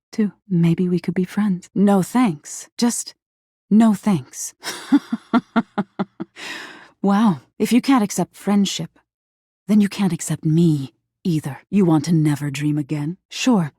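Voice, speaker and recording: A woman playing a living nightmare spirit in a video game, seductive and eerie, with a breathy, intimate delivery and a wounded, menacing edge. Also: mellow, no room sound, studio quality, full character voice. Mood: tender, shy, then hurt, threatening. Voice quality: breathy, husky, low. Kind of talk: video game character acting.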